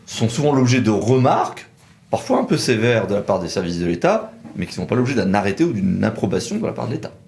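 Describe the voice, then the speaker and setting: A man's voice: on the low side, very animated, quick pace, creaky, darkish tone. A man presenting a conversational legal explainer as a lawyer, reasoning methodically and setting out nuances point by point.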